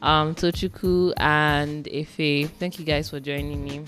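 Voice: mid-range voice, steady tone, unhurried, fairly bright tone, soft background music. Host from nigeria, um-ing a lot, friendly and casual. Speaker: podcast host. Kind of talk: casual podcast hosting.

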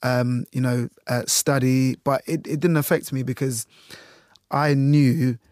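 A man's voice: mid-range voice, steady tone, measured pace, darkish tone, no room sound. A man speaks in a mid-range voice with a dry, close sound. He is candid and chatty, as in a casual interview. He tells personal stories and often slips into quoting what people say. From Jamaica, later moved to the UK. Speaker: radio journalist being interviewed.

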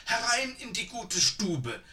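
A man's voice: very high-pitched, big pitch swings, slow, bright, forceful tone, distant mic, pitched above the performer's own voice, heavily acted. A man voicing a kind, breathless, plump old village woman with an eager, fussing manner.

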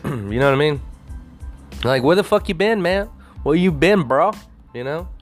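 A man's voice: a little high, animated, measured pace, soft background music. A man on a casual, rambling rant, swearing constantly and leaning on "you know" fillers.

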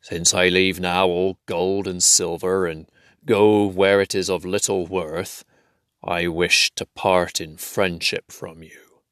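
A man voicing a dying male dwarf king who speaks slowly and gravely. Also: sits low, little pitch movement, fairly bright tone, no room sound. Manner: slow, grave. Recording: dry close recording.

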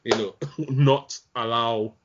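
A man in a casual group discussion, speaking in a measured, reflective way with frequent pauses. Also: medium pitch, fairly even intonation, noisy background. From outside the UK. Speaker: panel guest. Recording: dull lo-fi sound.

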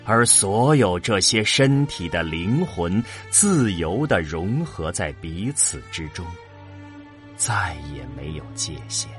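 Male narrator for sci-fi radio drama. Deep, solemn, deliberate delivery with wide dramatic swings. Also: very animated, over music. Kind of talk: dramatic scripted science fiction narration.

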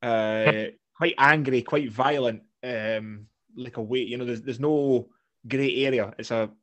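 A man's voice: mid-range voice, fairly even intonation, unhurried, fairly bright tone, highs cut off. Blunt, sweary Scottish man. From Scotland. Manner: blunt, sweary, rambling with restarts. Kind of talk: casual chat.

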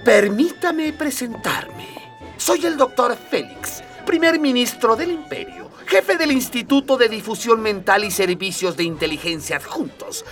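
A pompous old radio-play minister and man of science, courtly and formal in every phrase. His pitch roams dramatically up and down. He sounds a little distant from the mic, with soft music underneath. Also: heavily acted. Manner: formal, ceremonious, slow-spoken, throat-clearing.

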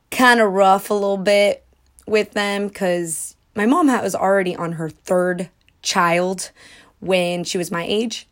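A woman in her twenties hosting a solo podcast, talking in a casual, dramatic, self-mocking way with frequent giggles. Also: mid-range voice. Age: 20s. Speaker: podcast host.

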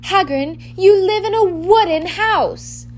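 Storybook role: clever schoolgirl, high voice, coaxing and persistent adult woman reading her. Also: moderate pace, slight background noise, natural voice. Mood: worried. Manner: flattering, pointed.

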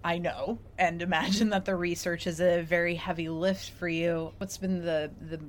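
A woman's voice: fairly low pitch, fairly even intonation, normal speed. A woman hosting a podcast interview in a casual, conversational way. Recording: clear background noise.

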